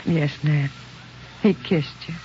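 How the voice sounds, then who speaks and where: An actress playing a gentle, agreeable middle-aged wife and mother in a soft 1940s radio drama style. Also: sits low, slight background noise. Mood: tender, serene. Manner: soft, unhurried. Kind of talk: 1940s American radio acting. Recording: muffled vintage radio under loud music.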